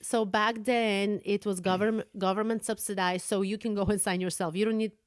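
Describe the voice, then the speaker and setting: A woman's voice: medium pitch, fairly even intonation, moderate pace, no room sound, clean studio sound. Bulgarian woman, chatty storyteller. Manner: chatty, laughs often, searches for words. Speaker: podcast co-host. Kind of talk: casual storytelling.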